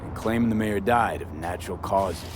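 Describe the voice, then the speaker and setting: A man's voice: deep voice, normal speed, noisy background, close to an everyday voice. A man playing a blunt, skeptical young streetwise mercenary with a casual, cool manner in a video game. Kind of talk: cinematic video game acting.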